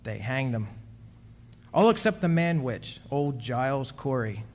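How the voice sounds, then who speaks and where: A man's voice: mid-range voice, animated, unhurried, slight background noise. An actor playing an uneasy immortal man, telling his story in a confiding, conversational way in a radio drama revival. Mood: confiding, grim. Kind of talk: stage revival of 1940s radio drama narration.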